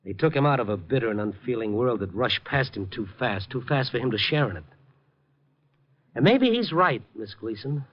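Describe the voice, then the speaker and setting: A man's voice: medium pitch, lively intonation, moderate pace, lightly acted. An actor playing a compassionate, world-weary man in an old radio drama, earnest and wry in manner. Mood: earnest, impassioned. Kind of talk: 1950s American radio drama acting and narration. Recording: muffled 1950 radio broadcast, faint music.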